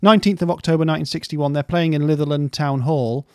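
A man's voice: on the high side, steady tone, fast, no room sound, clean studio sound. A man co-hosting a casual music history podcast, rambling through stories in a playful way.

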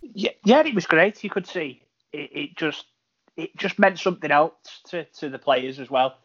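A man's voice: fairly high pitch, lively intonation, moderate pace, a bit bright, muffled recording. A man from Liverpool talking enthusiastically on a football podcast, with lots of "you know" fillers. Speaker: podcast panelist. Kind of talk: casual football podcast chat.

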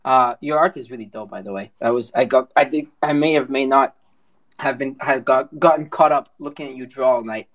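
A man's voice: mid-range voice, brisk. A man hosting a casual live voice chat, talking in an informal, rambling way and cutting into his own sentences. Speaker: host.

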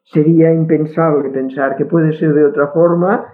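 A woman's voice: sits low, fairly even intonation, normal speed. A woman with a Catalan accent, Catalan being her first language, speaking as a guest in a casual conversation, firm and opinionated, often restarting words. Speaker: guest linguist. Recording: tinny phone-like line.

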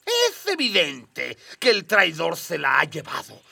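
Creaky, wildly swooping fawning doctor. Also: male voice, on the high side, wildly swooping pitch, unhurried, sharp tone, heavily acted. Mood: apologetic. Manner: fawning, whiny, overly formal. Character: obsequious, scheming alien doctor serving an emperor. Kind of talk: comic radio drama acting.

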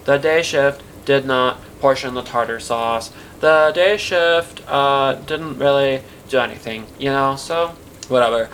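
A man playing a disgruntled, defensive night-shift kitchen worker in a halting, rambling conversational monologue for an audio drama. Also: mid-range voice, fairly bright tone, slight background noise, lightly acted. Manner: halting, rambling, self-interrupting, filler-heavy.

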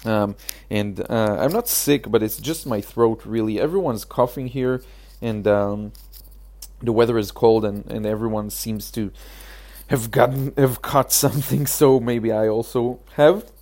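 A man with a hoarse, achy throat, hosting a casual solo podcast, talking haltingly with many fillers. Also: medium pitch, dark tone. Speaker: podcast host.

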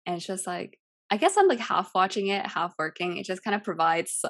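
A woman's voice: lowish voice, brisk. A young woman from California, a Cantonese speaker, chatting casually as a podcast guest with lots of fillers. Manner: relaxed, rambling with many fillers. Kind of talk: casual chat.

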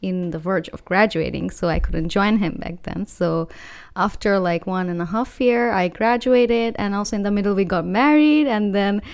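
A young woman from Bangladesh, co-hosting a student podcast in casual chat, talking with many fillers and pauses. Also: medium pitch, clear, resonant tone. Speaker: podcast co-host.

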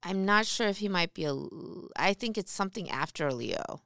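A woman in her forties co-hosting a casual podcast chat, candid and quick to laugh, with casual swearing. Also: medium pitch, animated, creaky, a bit bright, dry recording, clean studio sound. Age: 40s. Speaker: podcast co-host.

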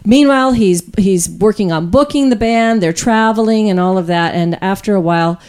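Middle-aged woman, medium pitch, casual podcast storytelling, conversational delivery. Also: moderate pace, darkish tone, clear, resonant tone. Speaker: podcast guest and co-host telling industry anecdotes.

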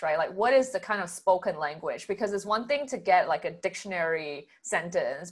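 A woman from Singapore chatting casually in an agreeable, measured way. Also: medium pitch. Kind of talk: casual chat.

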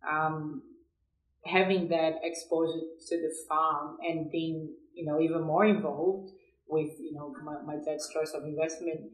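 A woman's voice: deep voice, flat delivery, unhurried. A woman from Brazil with a Brazilian accent, answering interview questions conversationally, often repeating words as she searches for phrasing. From São Paulo, Brazil. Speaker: podcast guest, agribusiness professional. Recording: roomy, echoey sound.

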